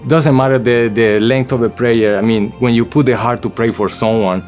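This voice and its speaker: A man's voice: medium pitch, fairly even intonation, normal speed, a little mellow. A man, around his thirties or forties, sharing a personal testimony in an informal storytelling way. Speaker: church member giving testimony. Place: indoor photo studio, seated before a backdrop. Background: background music.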